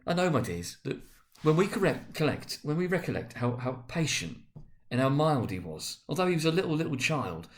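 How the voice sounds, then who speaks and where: A man voicing a tender, grieving, eager working-class father in a dramatic storybook reading, halting and repeating words. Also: a little high, big pitch swings, voice pushed up. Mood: tender, earnest.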